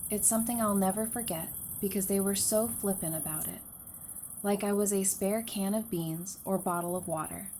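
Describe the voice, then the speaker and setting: A woman's voice: mid-range voice, dark tone, slight background noise, lightly acted. A woman in an audio drama playing a composed, perceptive psychiatrist turned survivor, earnest and self-assured. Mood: bitter, subdued.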